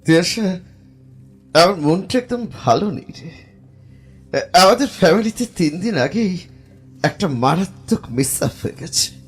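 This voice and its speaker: A man playing a haunted, distressed narrator of a first-person horror audio drama, halting and repeating his phrases. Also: sits high, animated, husky, soft background music, exaggerated voice. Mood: downcast, pleading.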